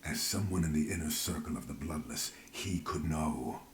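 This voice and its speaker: A man playing a brooding, guarded character in a video game, speaking gravely and formally in dramatic dialogue. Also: extremely low pitch, moderate pace, echoey room, slight background noise. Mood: brooding, confiding. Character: brooding, guarded man haunted by his disgraced past.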